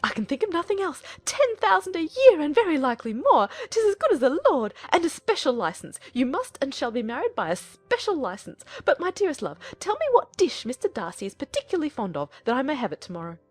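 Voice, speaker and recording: A woman playing an excitable, silly middle-aged English mother who gushes in breathless exclamations, in a dramatized audiobook of a period novel. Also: high voice, fairly quick, hardly any pauses, no room sound, soft background music. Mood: giddy, eager.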